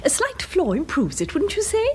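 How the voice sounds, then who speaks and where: An actress with a British accent playing a chirpy, doting housewife in a radio drama, fluttery and coaxing. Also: female voice, very high voice, wildly swooping pitch, normal speed, slight background noise, exaggerated voice. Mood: eager. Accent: British English.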